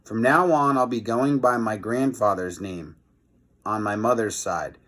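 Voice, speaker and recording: A man's voice: on the low side, unhurried, fairly bright tone, voice pushed down. A man voicing a defeated storybook villain, a mad professor who sounds sheepish, earnest and apologetic.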